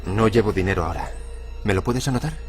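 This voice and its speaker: Casting: male actor for a blunt, moralizing, childlike murderer in radio horror, lowish voice, soft music underneath. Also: fast talker, lightly acted. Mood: flat, awkward. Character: childlike, menacing male killer obsessed with his mother. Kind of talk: suspense radio drama acting.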